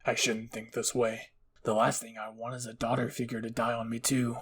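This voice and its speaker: A man's voice: mid-range voice, monotone, normal speed, breathy edge. A man voicing a stern, grumpy military captain with curt, cold replies in an audio fan fiction story. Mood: brooding.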